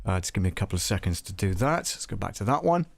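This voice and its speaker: A man with a noticeably creaky, gravelly voice hosts a casual live tutorial. He talks in a chatty, informal way, often thinking aloud, and the sound is close and dry. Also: low-pitched, big pitch swings, quick pace, no room sound. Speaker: live-stream host and audio production tutor.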